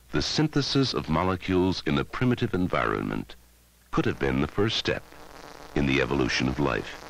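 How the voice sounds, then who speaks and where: A man narrating a scripted educational film in a measured, dramatic way, on a 1960s film soundtrack. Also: a bass voice, gravelly, a bit bright, no echo, poor audio. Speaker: documentary narrator.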